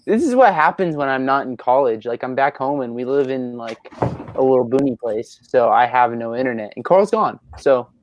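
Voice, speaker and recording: A man's voice: medium pitch, lively intonation, moderate pace, slight background noise. A nineteen-year-old man hosting a casual Q&A from home, upbeat and teasing, laughing often as he reads out viewer questions. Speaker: host of a Q&A livestream. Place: at his rural home.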